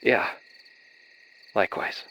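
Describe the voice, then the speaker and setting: A man playing an anxious, earnest young soldier in an animated horror drama, speaking in a halting, confiding way. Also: slight background noise. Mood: gentle, probing. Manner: hesitant, halting confessions with sighs. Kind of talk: naturalistic dramatic dialogue in an animated horror series.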